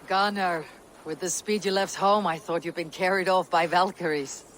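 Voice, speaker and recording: A woman's voice: lowish voice, steady tone, moderate pace, fairly bright tone, natural voice. Woman as blunt, stern Viking warrior. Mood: teasing. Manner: firm, blunt, commanding. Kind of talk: dramatic video game acting. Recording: clear background ambient noise.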